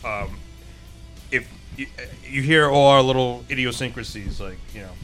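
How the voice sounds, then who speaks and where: Brooklyn guy, drummer, bright sharp voice, kinda hesitant, music faint behind. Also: mid-range voice, normal speed. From Brooklyn, New York. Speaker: drummer, guest. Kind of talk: casual interview chat.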